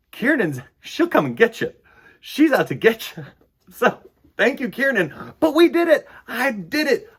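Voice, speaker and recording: Guy doing kids storytime, kinda husky voice, super animated and theatrical. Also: high voice. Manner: theatrical, emphatic, swings between loud bursts and hushed asides. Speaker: host of a children's storytime. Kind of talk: animated read-aloud and chat to children.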